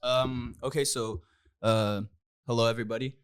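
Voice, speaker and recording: A man's voice: medium pitch, steady tone, moderate pace, smooth. A young man from Brazil with a Brazilian accent, chatting as a guest on a podcast in eager bursts with restarts. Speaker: guest pop singer. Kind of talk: casual podcast chat.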